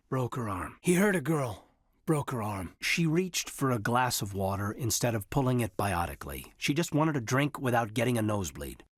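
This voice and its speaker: A male actor playing an earnest, guarded military officer in a science fiction video game, slightly husky and given to hesitant phrasing. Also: mid-range voice, fairly bright tone, dry recording, clean studio sound. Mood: somber, confessional. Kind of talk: naturalistic dramatic game dialogue.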